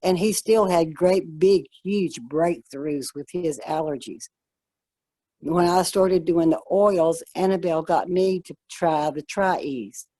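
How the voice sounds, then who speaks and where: A woman telling a personal story in a casual group chat, quick and chatty. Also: lowish voice, dark tone, dry recording. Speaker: guest in a wellness group chat.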